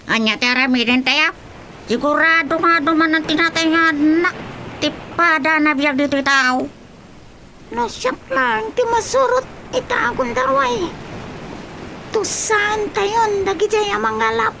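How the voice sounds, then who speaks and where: A woman playing a solemn guardian whale in a radio drama, speaking in a drawn-out, admonishing way with an Ilocano accent. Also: extremely high pitch, creaky, pushed and bright, slight background noise, exaggerated voice, low-quality recording. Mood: grave. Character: talking female whale, solemn guardian of the sea. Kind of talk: radio comedy-drama acting.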